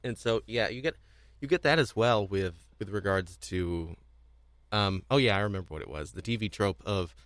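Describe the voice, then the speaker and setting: A man with a fairly low voice talks casually as a podcast co-host. The recording is close and dry, and he tends to ramble and repeat himself as he works through his thoughts. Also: normal speed, a bit bright, no echo. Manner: rambling, repeats phrases, chuckles often.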